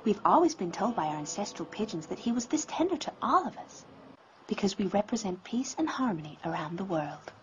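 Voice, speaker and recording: A woman voicing a gentle, devout female pigeon who tells a story in a dubbed cartoon, earnest and presentational. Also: mid-range voice, sing-song, fairly quick, slight background noise, natural voice. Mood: fond. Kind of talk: dubbed cartoon narration to children. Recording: muffled lo-fi audio with loud music.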